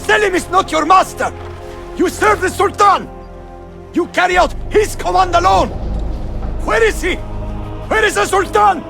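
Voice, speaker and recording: An actor playing a desperate royal brother in a video game, frantic, commanding and pleading. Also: male voice, extremely high pitch, measured pace, gravelly, long pauses, bright, forceful tone, faint music behind, heavily acted. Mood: frantic, defiant. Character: desperate royal brother, shouting defiance at soldiers. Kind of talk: dramatic video game acting.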